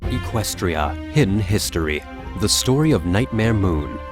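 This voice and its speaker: A man's voice: lowish voice, animated, measured pace, music in the background. A man announcing the credits of a radio drama in a scripted style.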